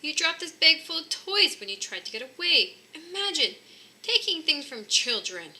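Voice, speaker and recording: A woman's voice: high-pitched, very animated, normal speed, noisy background, voice pushed up. A woman voicing a fussy mother bear in a children's storybook, bossy and indignant.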